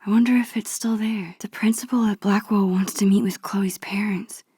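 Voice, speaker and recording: A woman voicing a shy, thoughtful young American teenage girl in a video game, in a soft, breathy, musing inner-monologue voice. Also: mid-range voice, steady tone, normal speed, no room sound, close to an everyday voice. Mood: curious, fond. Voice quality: breathy, hushed.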